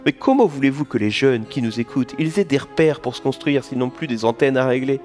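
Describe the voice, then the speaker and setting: A man's voice: medium pitch, a little fast, a little mellow, faint music behind, natural voice. A man playing a rambling, grumbling comic narrator of an audio drama, chatty and mock-nostalgic. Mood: mock indignant.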